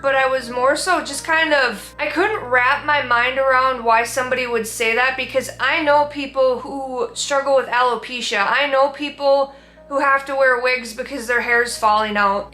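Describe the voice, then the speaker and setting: Minnesotan woman, bright, echoey room. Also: on the high side. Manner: earnest, candid, reflective. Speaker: vlogger. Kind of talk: sit-down personal talk.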